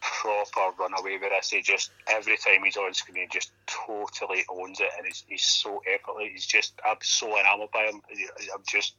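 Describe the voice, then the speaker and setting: A man's voice: deep voice, little pitch movement, normal speed, few pauses, pushed and bright, dry recording, dull audio. A man co-hosting a podcast from his bed at home, nursing a cold and sounding a bit snuffly, laid-back and cheerfully sweary. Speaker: podcast co-host. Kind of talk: casual chat.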